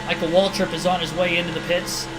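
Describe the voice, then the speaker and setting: A man giving steady play-by-play commentary while streaming a racing video game, often hedging his calls. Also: high-pitched, quick pace, heavy background noise. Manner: steady race-caller delivery, hedging guesses. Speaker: game livestreamer. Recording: video game music behind. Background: game music.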